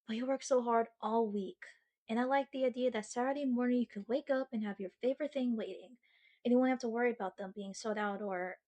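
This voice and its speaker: High-voiced, sheepish sweet girlfriend played by a woman. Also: darkish tone, natural voice. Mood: earnest, bashful. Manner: chatty, halting, bashful with dramatic mock seriousness. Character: sweet sheepish girlfriend, earnest, playfully self-mocking. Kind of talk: ASMR girlfriend roleplay, acted monologue to the listener.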